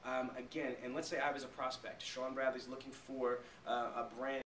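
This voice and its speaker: A man's voice: mid-range voice, flat delivery, moderate pace, fairly bright tone. A man in his thirties giving an emphatic sales training talk to a small group, often stopping to ask rhetorical check questions. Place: small meeting room with a flip chart. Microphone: camera mic a few metres away. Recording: echoey room, distant mic, faint noise.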